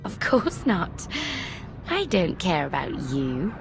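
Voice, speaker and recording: Dangerous young female villain, video game, mocking, drawn-out phrases, gravelly fry, music behind. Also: on the low side, wildly swooping pitch, heavily acted. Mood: mocking, playful menace.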